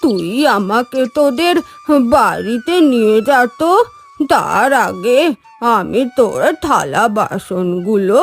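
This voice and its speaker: An old beggar woman from a cartoon folk tale. She draws her words out very slowly in an elderly way, with soft music underneath. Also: mid-range voice, animated, vocal fry. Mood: concerned. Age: older. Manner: slow, drawn-out elderly delivery. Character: old beggar woman, kindly to children, stern avenger.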